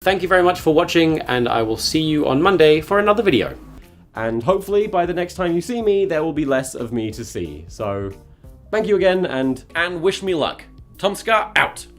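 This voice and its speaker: A man's voice: a little high, big pitch swings, normal speed. Self-deprecating man with music behind him. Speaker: video creator documenting his weight loss. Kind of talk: confessional vlog, partly scripted narration.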